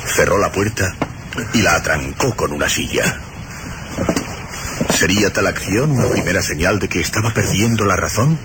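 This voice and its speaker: A man's voice: deep voice, expressive, moderate pace, long pauses. A man narrating a scripted suspense and horror radio story in a solemn, dramatic storytelling manner. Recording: loud storm noise over radio drama. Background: rain and storm ambience.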